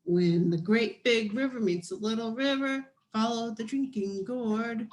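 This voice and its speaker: A woman reading a children's picture book aloud as scripted narration, in deliberate, measured phrases with dramatic pauses. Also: on the high side, a little mellow. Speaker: storybook reader.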